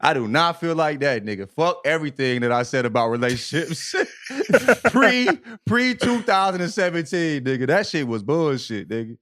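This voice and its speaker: Animated, higher-pitched American man. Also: normal speed. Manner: profane, slangy, emphatic. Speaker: podcast co-host. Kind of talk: casual banter.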